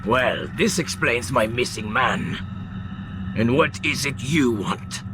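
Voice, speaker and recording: An actor voicing a condescending, threatening Templar villain with measured disdain in a video game. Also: male voice, medium pitch, animated. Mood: disdainful menace. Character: menacing, condescending male Templar commander, a villain. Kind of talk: video game cutscene dialogue. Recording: noisy game audio with ambience.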